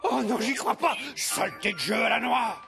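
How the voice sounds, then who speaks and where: Very high-pitched, pompous cartoon brainiac dog. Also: male voice, moderate pace, creaky, music in the background, exaggerated voice. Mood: panicked, outraged. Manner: pompous, indignant, excitable. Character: brainy, proud, hot-tempered male cartoon dog, sore loser. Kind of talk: animated children's series dubbing.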